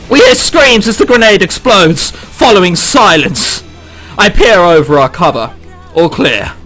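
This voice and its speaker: A man's voice: high voice, big pitch swings, slow pace, a bit bright. A man reading a visual novel aloud for a let's play, breaking off into incredulous, sweary commentary. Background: game background music.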